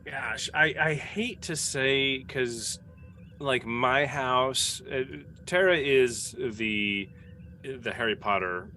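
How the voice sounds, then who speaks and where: A man answering casually as a podcast cast member, in a measured and somewhat hesitant manner. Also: medium pitch, pushed and bright, faint music behind. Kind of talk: casual group chat.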